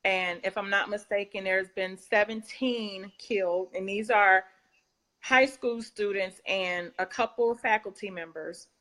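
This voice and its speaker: A woman's voice: medium pitch, steady tone, a little slow, pushed and bright, poor audio. A woman hosting a talk show, chatting casually and repeating words for emphasis. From Southern United States. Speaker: host.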